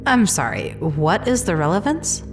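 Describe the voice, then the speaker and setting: A woman's voice: lowish voice, big pitch swings, normal speed, music in the background. A woman playing an earnest, curious scientist with an inquisitive manner in a sci-fi audio drama. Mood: puzzled. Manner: inquisitive, questioning. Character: earnest, probing female scientist, openly curious.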